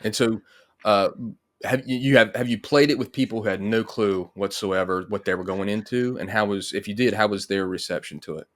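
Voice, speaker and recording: A man's voice: fairly low pitch, a little fast, a bit bright. A middle-aged man hosting a video call interview, putting questions in a casual, conversational way. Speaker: host, interviewer. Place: indoor room on a video call.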